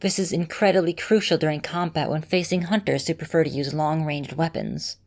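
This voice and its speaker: A woman plays a vampire mistress in an ASMR roleplay. Her voice sits on the low side, and she talks straight to the listener like a caring, composed teacher, choosing measured, formal words. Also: steady tone, darkish tone. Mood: calm, instructive. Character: female vampire mistress, caring, composed mentor, easily flustered. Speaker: voice actor in a roleplay audio.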